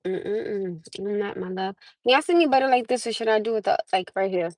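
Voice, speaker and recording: A woman's voice: medium pitch, fairly quick, darkish tone, no room sound, clean studio sound. A woman from the United States chatting live with her viewers from her parked car on a phone camera, laughing often and calling them "my love.". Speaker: livestream host. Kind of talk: casual live chat with viewers.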